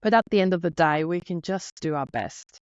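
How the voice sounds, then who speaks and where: Finnish-accented woman in her thirties, lowish voice, sincere and a little nervous solo podcast host, dry muffled recording. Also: a little fast, no room sound. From Finland. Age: 30s. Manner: earnest, openly nervous, heartfelt.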